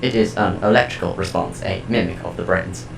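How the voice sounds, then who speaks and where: A man's voice: sits low, fairly even intonation, a little fast, vocal fry, reverberant, soft background music, low-quality recording. A man playing a cold, formal household artificial intelligence in an audio drama, speaking in a stilted, precise, emotionless way. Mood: detached, explanatory.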